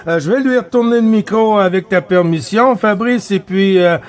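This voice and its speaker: A man with a Québécois accent running a CB radio net in an unhurried, chatty way, with frequent hesitations and tag words. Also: high voice. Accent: Québécois French. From Quebec.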